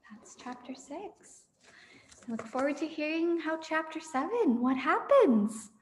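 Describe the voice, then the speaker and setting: A woman reading a children's story aloud in an animated, dramatic storytelling way. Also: high-pitched, measured pace, a little hiss or hum. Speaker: storybook reader for a camp audience. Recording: echoey room with long reverb.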